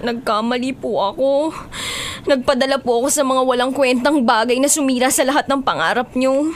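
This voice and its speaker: A woman's voice: high voice, normal speed, slight background noise, lightly acted. A woman playing a heartbroken, remorseful young college student in a Filipino radio melodrama, with a plaintive delivery. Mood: ashamed. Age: young adult. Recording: crowd chatter behind the voice.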